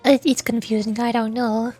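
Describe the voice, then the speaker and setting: A woman livestreamer chatting casually, thinking aloud in a halting, giggly way. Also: fairly high pitch, darkish tone, slightly rough, soft background music. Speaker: streamer, VTuber.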